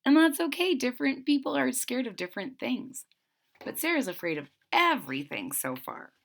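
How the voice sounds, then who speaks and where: A woman reads a children's story aloud in a bright, fairly high voice. She is expressive and takes her time, explaining simply with animated pitch. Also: normal speed, vocal fry. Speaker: storybook reader.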